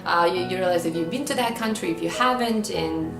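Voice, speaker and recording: A woman with a non-native English accent, speaking conversationally and warmly about her building. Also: lowish voice, fairly quick, gravelly, roomy sound, music in the background. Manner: conversational, friendly. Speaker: resident.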